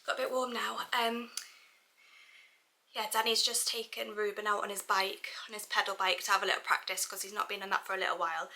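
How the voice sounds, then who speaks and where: Bright-voiced young British woman vlogging. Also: medium pitch, little pitch movement, a little fast, a little hiss or hum. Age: young adult. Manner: chatty, informal, thinking aloud. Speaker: family vlogger and mother. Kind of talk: casual vlog chat.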